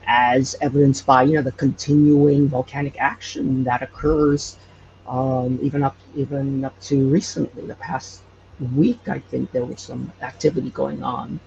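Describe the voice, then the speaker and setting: A man explaining things in casual interview talk, as a podcast guest, in a halting way. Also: medium pitch, flat delivery, creaky, darkish tone. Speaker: podcast guest, local storyteller. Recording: muffled, with faint background noise.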